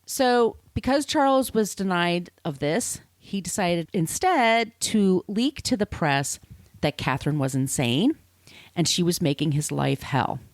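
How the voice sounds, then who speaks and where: Woman podcaster telling stories, slightly low voice. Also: expressive, unhurried, no echo. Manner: informal, explanatory, with asides. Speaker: podcast host. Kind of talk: conversational storytelling of literary history.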